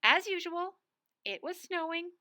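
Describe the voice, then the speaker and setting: A woman's voice: extremely high pitch, animated, unhurried, pushed and bright. A woman reading a storybook aloud expressively for young children. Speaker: storybook reader, school staff.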